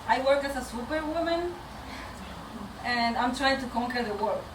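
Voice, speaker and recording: A woman from Venezuela with a Venezuelan Spanish accent gives an informal, candid personal talk to a meetup audience, speaking a bit haltingly and nervously. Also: high-pitched. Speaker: community track lead speaker. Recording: echoey noisy room, distant microphone.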